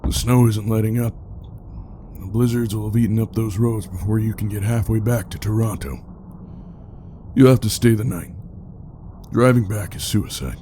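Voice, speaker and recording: An actor playing a gruff groundskeeper in an audio drama, plain-spoken, unbothered and quietly insistent. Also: male voice, lowish voice, moderate pace, pauses often, a little hiss or hum, natural voice. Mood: calm, firm.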